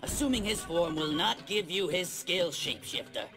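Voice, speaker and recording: An actor playing a stern, loyal ninja warrior who speaks in formal, terse, earnest sentences. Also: male voice, high-pitched, moderate pace, over music, natural voice. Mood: angry, demanding.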